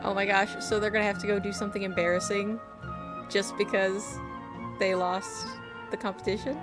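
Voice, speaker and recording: A woman's voice: medium pitch, little pitch movement, moderate pace. A woman giving casual, playful, reactive commentary as she streams a game. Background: soft game music.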